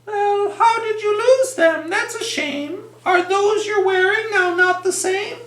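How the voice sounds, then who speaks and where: A prim, nosy storybook peacock, put on by a storytime reader. The voice is pitched way up and bright, exclaiming in singsong rhyme in a roomy space. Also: slow, full character voice. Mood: fussy surprise. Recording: roomy, echoing sound.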